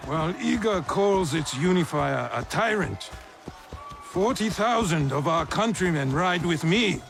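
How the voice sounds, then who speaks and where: A proud, theatrical warlord in a samurai game. He speaks slowly and deliberately, with wide swings in pitch and grandiose authority. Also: animated, creaky, music in the background. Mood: exultant, grandiose. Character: commanding, ambitious Japanese warlord, proud and theatrical. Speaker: video game voice actor. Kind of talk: cinematic period drama acting.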